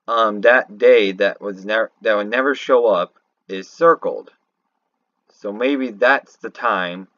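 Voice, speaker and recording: A man with a slightly low voice rambles through unscripted game commentary. He takes his time, halting and correcting himself as he thinks aloud. The recording is dull and lo-fi. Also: fairly bright tone. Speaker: fan commentator on a video game. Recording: roomy, dull lo-fi home recording.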